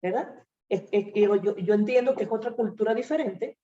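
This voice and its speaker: A woman's voice: mid-range voice, brisk, warm tone, distant mic, lo-fi sound. Woman speaking off-mic in an echoey room. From Venezuela. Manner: hesitant, rambling, with many fillers. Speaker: church member. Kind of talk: personal testimony in a group discussion.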